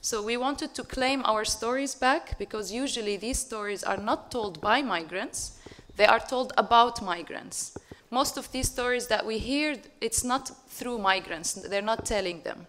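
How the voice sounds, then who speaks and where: Casting: woman raised in Syria, earnest and steady, filler-heavy panel speaker. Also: on the high side, unhurried, a little hiss or hum. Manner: earnest, steady, with frequent ums and uhs. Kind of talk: public talk presentation.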